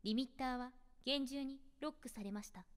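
A woman's voice: high voice, moderate pace, clear, resonant tone, long reverb tail, close to an everyday voice. A woman playing an emotionless, dutiful android girl in an anime visual novel, speaking in formal, clipped phrases. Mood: calm, detached.

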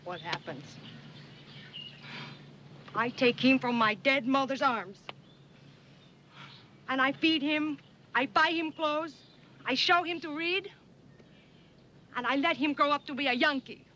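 A woman's voice: high-pitched, slow pace, pauses often, pushed and bright, lo-fi sound, slight background noise, heavily acted. An actress playing a fiery, bitter cantina girl who speaks in broken English, in an old screen drama. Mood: bitter, hurt. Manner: broken, non-native English phrasing. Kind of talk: dramatic mid-century screen acting.

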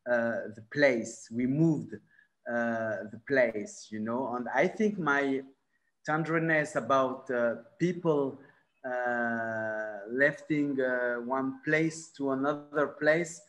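A man in his forties from France with a French accent, speaking on a video call and often pausing for the right word. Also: mid-range voice, a bit bright. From born in Morocco, raised in France. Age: 40s. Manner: halting, searching for words, many fillers; gestures with his hands while talking. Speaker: film director. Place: bright room at home. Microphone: laptop mic on a video call.

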